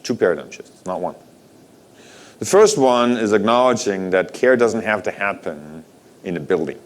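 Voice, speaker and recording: A man's voice: lowish voice, lively intonation, moderate pace. A middle-aged man from Denmark giving a conference keynote, asking the audience rhetorical questions in a conversational way. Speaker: conference speaker. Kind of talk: keynote talk.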